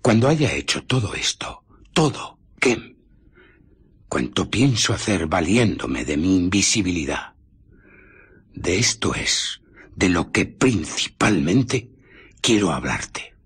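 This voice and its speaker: A man with a Castilian Spanish accent playing an embittered, vengeful invisible scientist in a radio drama, brooding theatrically with pauses and breathy murmurs. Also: low voice, big pitch swings, fairly bright tone, heavily acted. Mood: intent, secretive.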